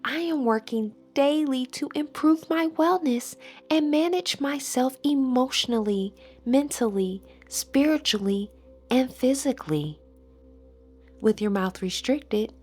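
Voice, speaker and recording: Slow, breathy woman guiding meditation. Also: sits high, expressive, a little mellow. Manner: soothing, measured, deliberate phrasing. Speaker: guided meditation instructor. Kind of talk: slow guided meditation narration with spoken affirmations. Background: background music.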